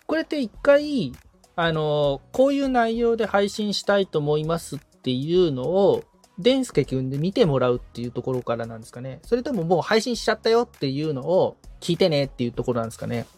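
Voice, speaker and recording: A man with a somewhat high voice and very varied intonation. He talks in a casual, polite way as a podcast assistant, agreeing, thinking things over and checking back with questions. Light music plays behind him. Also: very animated, brisk, a little mellow. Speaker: podcast assistant being coached.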